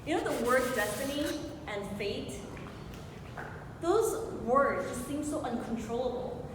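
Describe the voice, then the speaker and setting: Echoey hall recording of a woman speaking motivationally. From Hong Kong. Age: 40s. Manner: rhetorical questions to the audience. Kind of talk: motivational speech telling a personal story. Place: large echoing room before an audience. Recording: very echoey hall with clear noise and rumble.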